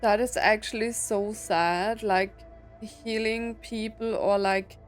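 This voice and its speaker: A woman comments casually as she plays a story game. Her voice sits at an average pitch and she speaks slowly, thinking out loud with sympathy over the game's music. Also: fairly even intonation. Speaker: gaming streamer reacting to story scenes. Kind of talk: casual live reaction commentary.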